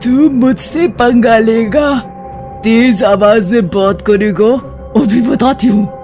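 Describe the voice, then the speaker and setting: A man voicing a menacing old forest witch in a horror story, with threatening, drawn-out taunts. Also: very high-pitched, darkish tone, soft background music, exaggerated voice. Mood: menacing, gloating. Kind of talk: dramatized Hindi horror story.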